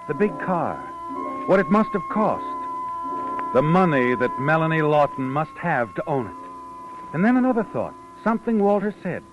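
An actor playing an ominous, insinuating narrator in a classic radio mystery, deliberate and dramatic. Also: male voice, a little high, sing-song. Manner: insinuating, deliberate, dramatic second-person telling. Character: ominous male narrator addressing the scheming man. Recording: muffled old radio recording, music behind. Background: dramatic music.

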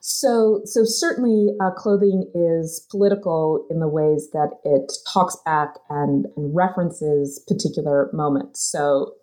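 A woman's voice: low voice, a little slow, few pauses, dark tone, poor audio. A woman author discussing her research in a thoughtful, hesitant way, with many fillers and restarts. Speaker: author being interviewed. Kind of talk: academic conversation.

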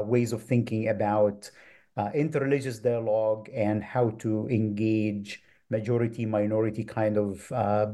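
A man from Egypt, a professor of Old Testament, answering interview questions thoughtfully with many pauses and fillers. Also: medium pitch, dark tone. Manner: thoughtful, many ums and uhs.

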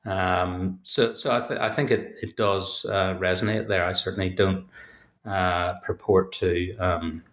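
A man's voice: low-pitched, steady tone, measured pace, a bit bright, reverberant. A man with a Belfast accent, an author giving thoughtful, hesitant interview answers full of fillers and restarts. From Northern Ireland. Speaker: author and academic guest.

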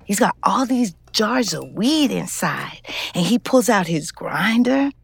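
An actress playing a streetwise, weary middle-aged ex-convict who narrates an audio drama in a frank, wistful, conversational way with slangy swearing. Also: female voice, medium pitch, very animated, vocal fry, slight background noise, exaggerated voice. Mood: dry, guarded. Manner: conversational, halting, profane slang. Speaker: lead actor and narrator in scripted fiction podcast. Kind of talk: intimate first-person audio drama narration.

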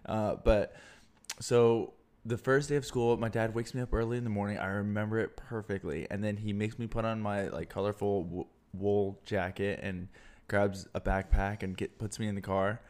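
A man's voice: on the low side, steady tone, moderate pace, a little mellow. A young man, a podcast co-host who grew up speaking Vietnamese, telling a childhood story in casual chat and laughing often.

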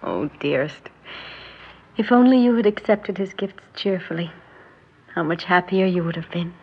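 An actress playing a devoted middle-aged daughter in an old radio drama, tender and soft-spoken. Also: female voice, fairly low pitch, normal speed, husky. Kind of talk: 1960s radio drama acting. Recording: dull old radio recording with music.

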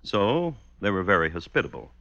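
A man with an American accent narrating an old-time radio drama in a deliberate, wry, mock-formal way. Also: deep voice, sing-song, a bit bright, highs cut off, slight background noise.

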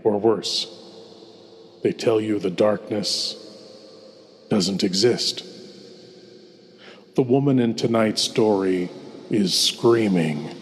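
A man's voice: on the low side, slow, pauses often, a little hiss or hum. A man playing a sinister, courtly horror host, speaking in a grandiloquent, ominous and theatrical way. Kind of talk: theatrical old-time horror introduction. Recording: long cavernous reverb.

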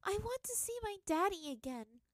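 A woman's voice: very high-pitched, big pitch swings, normal speed, dry recording, pitched above the performer's own voice. A woman voicing a young girl who plays sweet and innocent for strangers but is tough underneath. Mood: sweetly pleading. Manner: sweet and innocent when pretending, blunt when alone. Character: young blind girl, a sly, tough runaway.

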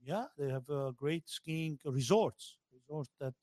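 A man talks in a casual group chat. His voice sits a little high and is recorded dry. He tells things haltingly and repeats words to stress them. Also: dark tone, dry recording.

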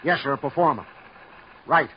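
An actor playing a genial, busy police sergeant, brisk and clipped, in old radio drama style. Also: male voice, medium pitch, lightly acted. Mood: brisk, official. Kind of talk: 1940s-50s radio drama acting. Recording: muffled vintage radio, faint noise.

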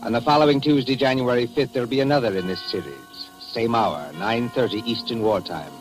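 A man giving a formal, measured, scripted announcement in the style of a 1940s radio host. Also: medium pitch, soft background music, low-quality recording. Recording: 1940s radio broadcast recording.

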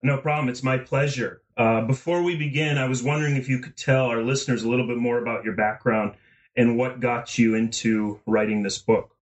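A man hosting an interview podcast, asking polite and somewhat halting questions. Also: mid-range voice, steady tone. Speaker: podcast host. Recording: voice distant from the mic.

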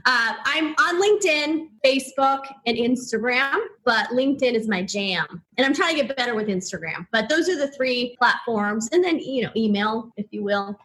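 A woman's voice: fairly high pitch, animated, normal speed, few pauses, fairly bright tone. A woman whose first language is Spanish, chatting upbeat as an interview guest, laughing often and filling her talk with "like" and "you know". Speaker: guest, personal branding entrepreneur. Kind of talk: casual interview chat.

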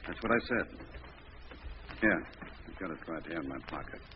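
Police commissioner, very low voice, brusque, lively intonation, old radio crime drama, noisy dull recording. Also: male voice, moderate pace. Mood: brisk, blunt. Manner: brusque, matter-of-fact. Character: gruff, matter-of-fact male police commissioner. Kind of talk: 1940s radio drama acting.

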